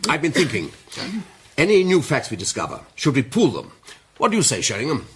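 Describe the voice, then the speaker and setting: An actor playing a gruff, upper-class older gentleman in a period detective radio drama, clipped, formal and pompous. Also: mid-range voice, huge pitch swings, fairly quick, exaggerated voice. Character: gruff, upper-class titled gentleman, father of grown daughter.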